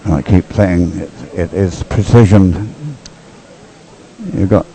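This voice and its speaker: A man's voice: sits low, fairly even intonation, slow pace, creaky, mellow, a little hiss or hum, low-quality recording. A man giving live sports commentary in a hesitant, conversational, loosely phrased way. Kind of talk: live commentary on dragon boat racing.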